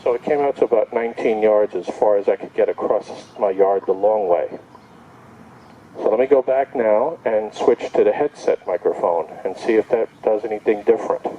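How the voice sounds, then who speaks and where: A man's voice: on the low side, moderate pace, muffled recording, a little hiss or hum. A man giving a casual hands-on product demonstration outdoors, thinking aloud in short step-by-step remarks. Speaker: product reviewer. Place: outdoor backyard.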